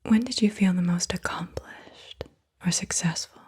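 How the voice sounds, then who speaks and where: A woman speaking in a soft, breathy whisper, gently reading out questions in an ASMR style. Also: fairly low pitch, a little slow. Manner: hushed, gentle delivery. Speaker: ASMR host. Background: computer keyboard typing.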